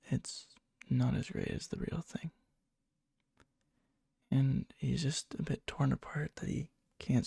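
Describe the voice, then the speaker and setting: A man playing a needy yandere young man confessing love to a friend in a hushed, halting ASMR roleplay, often slipping into a whisper, breathy and gravelly. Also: mid-range voice, dry recording, full character voice. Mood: aching, obsessive. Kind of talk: ASMR boyfriend roleplay, soft intimate storytelling. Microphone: close mic, dry.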